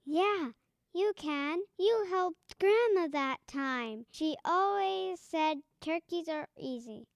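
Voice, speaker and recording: An eager little girl in a radio play, really high voice, takes her time, hopeful and wide-eyed. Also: expressive, slow pace, gravelly, no echo, studio quality, exaggerated voice. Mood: hopeful, persuading. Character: excited, hopeful young girl, poor mother's daughter. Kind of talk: audio drama acting.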